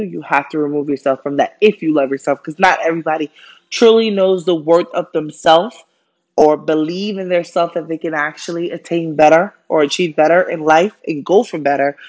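A woman's voice: low voice, normal speed, highs cut off. A young woman from Jamaica, raised in Brooklyn, with a Jamaican accent, hosting her first solo podcast in a chatty, friendly way with many fillers. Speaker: podcast host. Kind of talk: casual solo podcast monologue.

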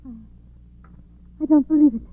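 An actress playing an anxious, distressed woman at a seance, high-strung and melodramatic, in 1940s radio style. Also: normal speed, lightly acted. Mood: alarmed. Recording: muffled narrow-band 1940s radio recording.

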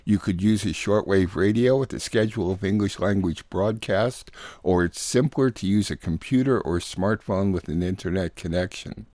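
A man's voice: deep voice, normal speed, few pauses, darkish tone, dry recording, clean studio sound. A man reading a scripted introduction as the host of a radio news show. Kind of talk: scripted narration, program introduction.

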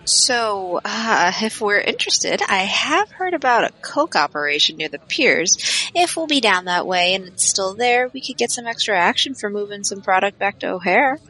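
A woman's voice: mid-range voice, sing-song, normal speed, pushed and bright, poor audio. A woman playing a shrewd, money-minded hacker on a mercenary team, casual and skeptical, in an audio drama. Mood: scheming.

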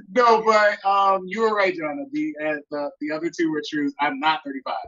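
A woman's voice: mid-range voice, moderate pace, a bit bright, lo-fi sound. A woman of about thirty, a guest on a podcast, chatting playfully and laughing often. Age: 30s. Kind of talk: casual chat.

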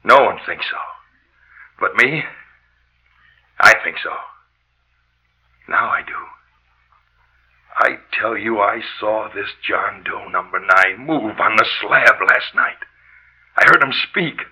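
An actor playing a haunted, obsessed morgue worker, brooding and intense in halting 1940s radio drama style. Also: male voice, lowish voice, animated, bright, forceful tone, husky, exaggerated voice. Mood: haunted, hushed. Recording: dull lo-fi old radio recording.

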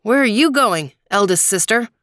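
A woman's voice: mid-range voice, animated, normal speed, a bit bright, no echo, clean studio sound, pitched above the performer's own voice, close to an everyday voice. A woman voicing a hungry young goatherd boy in a folktale, polite and pleading. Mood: curious.

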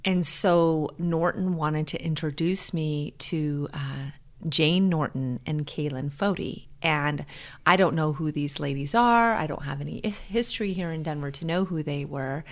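A middle-aged American woman speaking earnestly and in a measured way as a guest in a reflective personal interview. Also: low-pitched. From Hawaii, USA.

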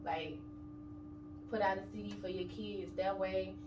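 A woman's voice: mid-range voice, fairly even intonation, measured pace, lo-fi sound. A woman in her thirties, an interview guest talking casually and bluntly about her kids and money. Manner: blunt, streetwise, emphatic. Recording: echoey room, distant mic, noisy background.

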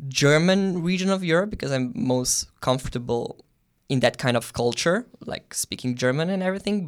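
A young man from Slovenia, a guest on an interview show, answering in a thoughtful way with many pauses and restarts. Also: medium pitch. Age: young adult. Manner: thoughtful, with frequent ums and restarts. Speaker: guest, exchange student.

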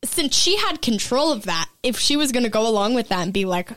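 A woman's voice: a little high, sing-song, fairly quick, a bit bright. Chatty woman co-hosting a talk show. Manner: chatty, laughs easily. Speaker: co-host. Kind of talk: casual political talk.

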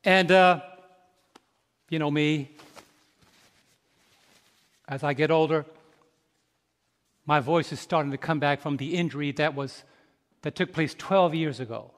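A man in his fifties preaching a sermon, quizzing the congregation with questions and swinging from soft, slow reflection to loud, rising exhortation. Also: fairly high pitch. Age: 50s. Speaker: pastor. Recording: long reverb in a large hall.

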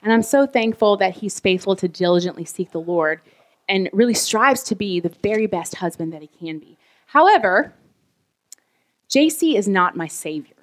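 A woman's voice: mid-range voice, animated, moderate pace. Heartfelt woman co-preaching. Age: 30s. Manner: warm, heartfelt, laughing often. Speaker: pastor's wife, co-preacher. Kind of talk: sermon. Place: church service.

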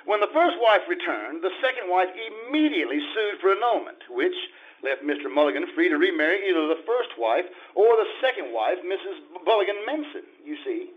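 A man's voice: high-pitched, sing-song, a little fast. A man acting an earnest, harried, jealous husband and lawyer in a stage radio comedy. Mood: earnest, flustered. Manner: earnest, protesting, stammering. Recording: dull muffled live stage audio.

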